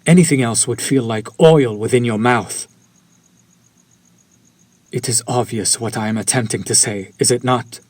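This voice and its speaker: A man's voice: medium pitch, a little mellow, no room sound. A man playing a courteous, lovesick, centuries-old vampire in an intimate audio roleplay, with formal, old-fashioned and pleading speech. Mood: yearning, reverent. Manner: formal, archaic, ornate, apologetic phrasing. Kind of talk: scripted romantic audio roleplay, intimate direct address.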